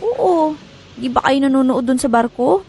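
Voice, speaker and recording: A woman's voice: high voice, lively intonation, normal speed, mellow, slight background noise. An actress playing a caring, inquisitive wife and mother in her thirties in naturalistic radio drama dialogue. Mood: curious. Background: music bed.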